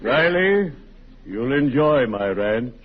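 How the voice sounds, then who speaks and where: Slow, solemn, morbidly cheerful undertaker. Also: male voice, medium pitch, big pitch swings. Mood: solemn delight. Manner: slow, formal, unctuous, savoring words. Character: gloomy genteel undertaker, morbidly cheerful, drawn-out funereal delivery. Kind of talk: 1940s radio comedy acting. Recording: noisy muffled old-time radio recording.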